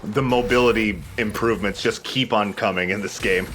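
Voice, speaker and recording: Bright, low-voiced man commentating gameplay. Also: steady tone, normal speed, a little hiss or hum. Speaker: let's play host and player.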